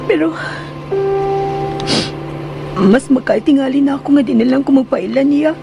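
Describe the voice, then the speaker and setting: An actress with a Filipino accent playing a meek, sorrowful young housemaid in a radio drama, pleading and plaintive. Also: female voice, sits high, moderate pace, gravelly. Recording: radio drama over prominent music.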